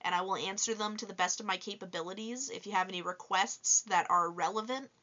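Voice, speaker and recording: A woman in her twenties with a low voice, chatting casually and upbeat in a vlog, with many fillers. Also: fairly quick, sharp tone, dull audio. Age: 20s. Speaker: toy reviewer and vlogger. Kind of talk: casual vlog answering viewer questions. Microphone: voice-over with face unseen.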